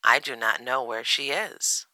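A storybook reader as an eager, bright little bear cub. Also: mid-range voice, a little slow, no echo, rough recording. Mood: friendly, excited. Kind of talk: children's storybook character reading.

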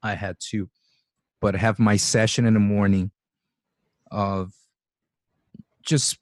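A man's voice: lowish voice, fairly even intonation, slow pace, darkish tone, no room sound, studio quality. A man in his forties hosting a podcast, talking loosely and rambling, often acting out his own thoughts. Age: 40s. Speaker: podcast host. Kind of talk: casual interview conversation.

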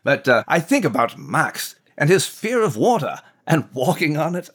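Man as a scholarly storybook mouse, genteel, enthusiastic, lively varied pitch, old-fashioned wording, thoughtful conversational delivery. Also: moderate pace, breathy edge, heavily acted. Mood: musing, animated. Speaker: co-host in character. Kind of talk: conversational devotional discussion in character.

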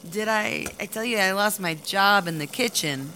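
A woman's voice: medium pitch, moderate pace, pushed and bright, a little hiss or hum, close to an everyday voice. A woman playing a friendly, evasive prison inmate in a comedic audio drama, putting on innocence. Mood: innocent, evasive.

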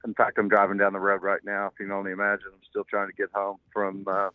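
An older man, hoarse from losing his voice, rambling along with lots of pauses and restarts. His voice sits on the low side and comes through a thin, tinny phone line. The sound is dry, with nothing of a room around it. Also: completely flat delivery, no echo. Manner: halting, hesitant, rambling. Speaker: guest, racehorse owner. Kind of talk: casual phone interview. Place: car, driving.

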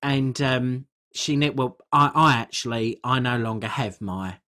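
A performer playing a crude, gossipy middle-aged English woman podcaster, telling rambling comic stories in a blunt, husky way. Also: mid-range voice, measured pace, bright, forceful tone. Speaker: podcast co-host. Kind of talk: comic character chat, rambling anecdotes.

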